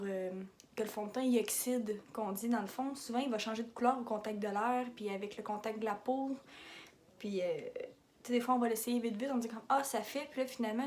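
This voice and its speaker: A woman's voice: fairly high pitch, darkish tone, a little hiss or hum. A young woman with a Québécois French accent talking casually through her everyday makeup routine, rambling into asides and mixing in English beauty terms. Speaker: makeup vlogger.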